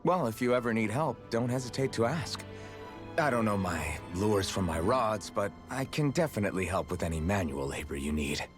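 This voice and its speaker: An earnest young hero from a fantasy game, as an actor voices him, lower-voiced with a lively, animated delivery. He sounds friendly and encouraging. Faint music plays behind. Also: normal speed, lightly acted. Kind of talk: game cutscene dialogue.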